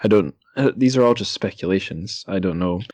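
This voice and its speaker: A man with a low voice hosts a casual book discussion. He speaks hesitantly, rephrasing as he thinks his way toward each question. The sound is dry, with very little room to it. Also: brisk, darkish tone, dry recording. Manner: hesitant, self-correcting, musing. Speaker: podcast host. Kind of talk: casual literary interview.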